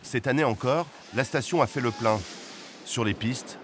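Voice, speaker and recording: A man giving scripted, measured narration for a television travel report. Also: medium pitch, a little hiss or hum. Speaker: documentary narrator.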